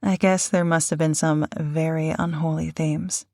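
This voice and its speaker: A woman co-hosting a podcast, telling personal reflections in a gentle, measured storytelling manner. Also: deep voice, little pitch movement, no echo, clean studio sound. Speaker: podcast co-host and voice actor.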